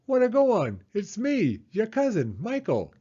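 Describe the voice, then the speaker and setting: A man's voice: sits high, huge pitch swings, a little slow, dark tone, slightly rough, lo-fi sound, voice pushed up, full character voice. A man voicing a boisterous boy cousin visiting from Trinidad in a children's story.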